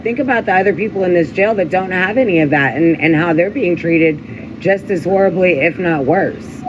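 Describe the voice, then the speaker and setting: Higher-pitched man in muffled, noisy audio. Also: normal speed. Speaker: vigil host taking the call. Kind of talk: supportive conversational responses.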